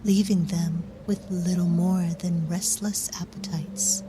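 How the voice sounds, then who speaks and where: A woman performing as a solemn storyteller, giving measured, grave scripted narration for a dark fairy-tale drama. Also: fairly low pitch, fairly even intonation, heavy background noise. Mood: grave, hushed.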